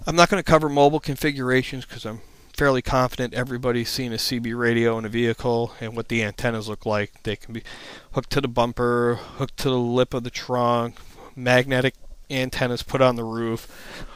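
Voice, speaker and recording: A man's voice: mid-range voice, flat delivery, fairly quick, hardly any pauses, smooth, no echo. A man narrating an informal slide presentation as an instructor, explaining things in a plain-spoken, matter-of-fact way.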